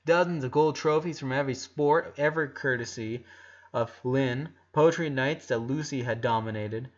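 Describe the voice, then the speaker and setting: A man reads a story aloud in a mid-pitched voice, as a scripted narration. He trips over a word here and there. The recording is a little dull and lo-fi. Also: moderate pace. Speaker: narrator reading a fan fiction story aloud.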